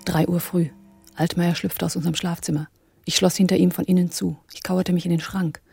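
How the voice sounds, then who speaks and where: A woman's voice: on the low side, little pitch movement, a little fast, creaky, warm tone, breathy edge, no echo, faint music behind, exaggerated voice. An actress playing a cool, wry woman on the run in a German radio drama, in dialogue and first-person narration. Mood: tense narration.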